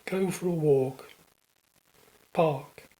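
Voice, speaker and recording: A man's computerised speech-aid voice in a radio play, speaking in terse, flat fragments. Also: medium pitch, drawn-out words, rough recording.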